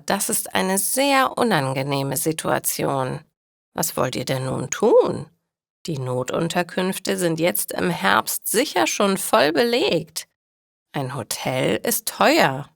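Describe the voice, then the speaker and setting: A woman voicing a kind but shrewd, plain-spoken elderly grandmother in a storybook read for language learners, with deliberate, matter-of-fact delivery. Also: on the low side, big pitch swings, gravelly, no echo, studio quality, exaggerated voice. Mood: coy, doubtful.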